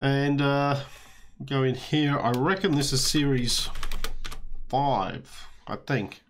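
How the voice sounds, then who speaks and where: An Australian man, slightly hoarse, giving a relaxed walkthrough of a video game he is playing, with frequent fillers. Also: medium pitch, takes it slowly. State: slightly hoarse, losing his voice. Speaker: let's play host. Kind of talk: casual gameplay walkthrough commentary.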